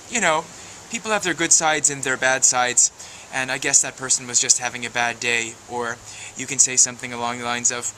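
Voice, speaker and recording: A man's voice: medium pitch, pushed and bright, a little hiss or hum, low-quality recording. A man giving a wordy, emphatic, preachy advice monologue as a video blogger.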